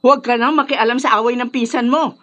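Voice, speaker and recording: Woman acting as high-pitched, exasperated Filipino mother. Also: steady tone, fast talker, fairly bright tone, lo-fi sound, close to an everyday voice. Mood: scolding. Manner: nagging, melodramatic. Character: exasperated, scolding mother of a troublemaking son. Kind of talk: Filipino radio drama acting.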